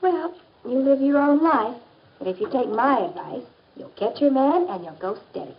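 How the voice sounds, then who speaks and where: A woman's voice: high voice, lively intonation, normal speed, slight background noise. An American woman acting as an assured teenage girl confidently advising her friend in a 1950s educational film. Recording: dull, muffled 1950s film soundtrack.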